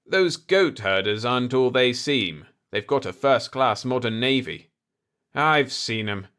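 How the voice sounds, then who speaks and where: A man voicing a shrewd, calm space diplomat with a dry, assured manner in a sci-fi audiobook. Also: mid-range voice, a little slow, clean tone. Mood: firm, informative.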